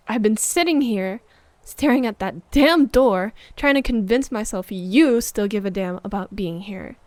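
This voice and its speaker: A woman playing a hurt, needy, accusing girlfriend in an intimate audio roleplay, moving between sharp reproach and hushed murmurs. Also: medium pitch, a little slow, no echo, lightly acted. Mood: bitter, hurt. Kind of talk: intimate audio roleplay monologue, dramatic acting.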